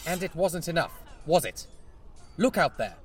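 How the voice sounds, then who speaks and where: A man's voice: a little high, sing-song, normal speed, a bit bright, breathy edge, soft background music, full character voice. A man playing a guilt-ridden, defeated fantasy hero in an audio drama, bitter and sardonic. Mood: guilty despair.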